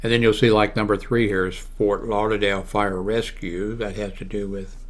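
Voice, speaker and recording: Older american guy in his 70s, lowish voice, talking through websites off the cuff, kinda halting, close desk mic. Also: little pitch movement. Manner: halting, thinking aloud while clicking through pages. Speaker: video blogger giving a how-to demonstration. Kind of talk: casual unscripted screen walkthrough. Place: small room at home, indoors. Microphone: large desktop microphone close to the mouth.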